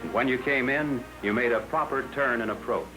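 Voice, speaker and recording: Man, mid-pitched, 1940s instructional film narration, measured, scripted, direct address, prominent background music. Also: rough recording. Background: film score music.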